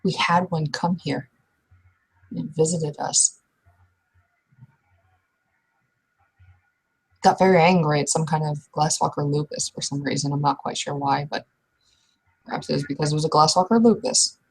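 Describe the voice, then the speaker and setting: A woman playing a wary but polite werewolf sept member, probing in a measured, hesitant way during a roleplaying game. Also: low voice, fairly even intonation, vocal fry, slightly rough, heavily acted, rough recording. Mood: measured, serious.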